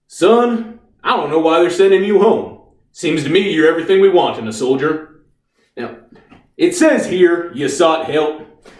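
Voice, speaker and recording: A man's voice: a little high, animated, a little slow. A man playing a stern, patronizing army officer in a stage drama, speaking with clipped authority. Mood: stern, official. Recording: echoey room, distant microphone.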